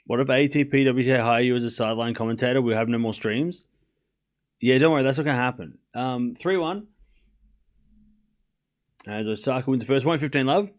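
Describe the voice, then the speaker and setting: A young Australian man talks loosely on his live stream, his voice set in an ordinary middle register. He drifts between quick calls of the tennis and easygoing answers to chat, swearing now and then. The talk rambles, with the restarts of someone speaking off the cuff. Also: mid-range voice. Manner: relaxed and rambling, reads chat aloud and answers it, swears casually.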